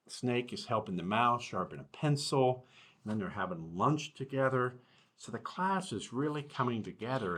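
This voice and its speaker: A man's voice: on the low side, a bit bright. A man in his fifties reading a children's story aloud with folksy asides, recorded in a small office on a webcam. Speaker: school superintendent. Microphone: webcam at desk distance.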